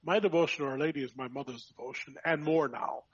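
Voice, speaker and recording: Husky-voiced priest, reflective and warm-hearted. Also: male voice, on the high side, fast, no echo, muffled recording. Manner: reflective, with frequent restarts and fillers. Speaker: priest and vocation director. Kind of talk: conversational podcast chat.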